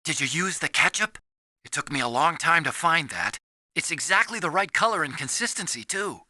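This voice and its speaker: Nerdy young game scientist, very bright tense voice, animated swings, earnest, anxious. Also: male voice, on the high side, normal speed, no room sound, clean studio sound, heavily acted. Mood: brisk. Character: earnest, timid young male scientist, soft-hearted and nerdy. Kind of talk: video game voice acting.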